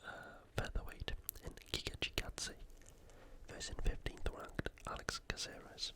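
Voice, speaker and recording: A host whispering an informal, opinionated sports preview in ASMR style, all breath and no voice. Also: unhurried. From United Kingdom.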